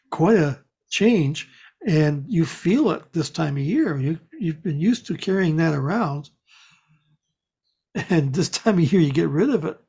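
A man's voice: a little high, gravelly, a little mellow, slightly rough. An older man chatting casually, telling meandering stories and correcting himself as he goes. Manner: meandering storytelling, self-correcting. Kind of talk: casual chat. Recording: dull lo-fi audio.

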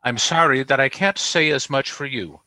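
Pompous, bright-voiced store proprietor. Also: male voice, mid-range voice, moderate pace, dry recording. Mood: haughty. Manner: pompous, exacting, formal. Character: pompous, indignant old-fashioned store proprietor. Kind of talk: 1940s radio comedy acting. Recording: vintage radio broadcast.

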